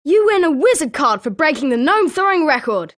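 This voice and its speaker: A woman's voice: sits high, very animated, brisk, a bit bright. A woman with a British accent voicing an eager, sporting schoolboy wizard with chirpy, emphatic delivery in a video game. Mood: congratulatory. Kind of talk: scripted video game character lines.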